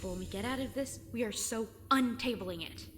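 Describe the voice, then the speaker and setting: A woman's voice: on the high side, fairly quick, fairly bright tone, long reverb tail, slight background noise, lightly acted. A woman playing a sarcastic, exasperated teenage girl, dry and sceptical, in an audio drama. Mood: alarmed, reluctant. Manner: dry, sardonic, nagging.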